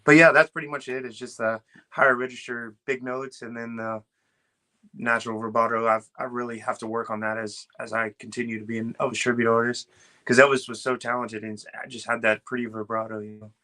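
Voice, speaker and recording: A man with a smooth voice, a guest on a video call, talking earnestly and easily with lots of "uh" and "you know". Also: mid-range voice, little pitch movement, brisk, sharp tone. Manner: earnest and easygoing, with many fillers like "uh" and "you know". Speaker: guest, Elvis tribute artist. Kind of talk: casual interview chat.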